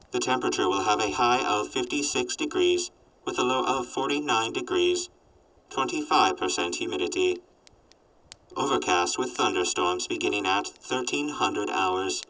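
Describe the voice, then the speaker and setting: A man voicing a sardonic robot companion in an audio drama, reporting in a formal, clipped deadpan. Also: lowish voice, recorded from a distance, poor audio. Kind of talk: post-apocalyptic audio drama acting.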